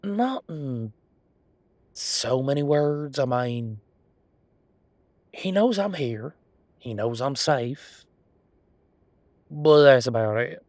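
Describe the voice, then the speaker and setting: A man's voice: medium pitch, expressive, drawn-out words, long pauses, a little mellow, dry recording. A man voicing a folksy, earnest young head of security who talks hesitantly and rambles in an audio roleplay drama. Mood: sheepish, evasive.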